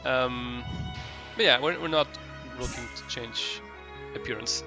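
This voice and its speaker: A man's voice: medium pitch, measured pace, music in the background. A man doing casual live commentary as a game streamer, rambling and hesitant as he searches for his words.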